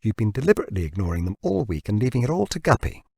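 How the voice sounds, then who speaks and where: A man reading an audiobook as a fond, bossy woman friend who coaxes and gently scolds. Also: on the low side, big pitch swings, rapid-fire, vocal fry, slightly rough, dry recording, studio quality, heavily acted. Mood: scolding. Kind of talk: audiobook character dialogue.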